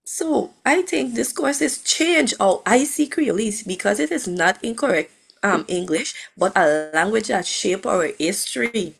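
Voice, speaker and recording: Husky, gravelly-voiced woman. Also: mid-range voice, animated, a little slow. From Guyana. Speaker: student panelist. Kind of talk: class panel discussion.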